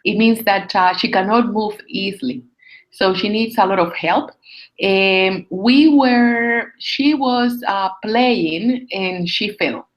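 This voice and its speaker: A woman with a Puerto Rican accent answering interview questions conversationally, often pausing to search for words and repeating phrases. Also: medium pitch, highs cut off. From Puerto Rico. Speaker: interview guest, astronomer.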